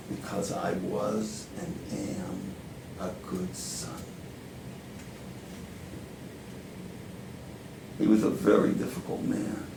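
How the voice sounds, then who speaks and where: Man acting gravelly New power lawyer, fatherly. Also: mid-range voice. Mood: tender, guarded. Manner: deliberate, emphatic, persuasive. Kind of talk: dramatic stage monologue. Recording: roomy echo, faint background noise.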